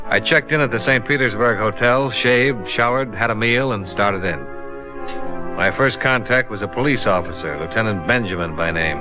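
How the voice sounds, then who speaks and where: Actor as a clipped American radio detective, music behind. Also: male voice, lowish voice, moderate pace, natural voice. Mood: businesslike, narrating. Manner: clipped, matter-of-fact. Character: brisk, hard-boiled male freelance insurance investigator. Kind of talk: 1950s radio detective drama acting and first-person narration. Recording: vintage radio recording with music.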